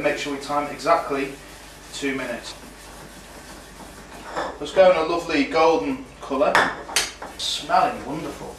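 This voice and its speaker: A man's voice: on the high side, a bit bright, slight background noise. A man in his thirties hosting a casual home cooking show, chatting while he works in his kitchen. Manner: chatty, talks while working at the stove. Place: home kitchen at night, indoors. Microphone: camera mic from across the room. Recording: roomy, distant camera-mic sound.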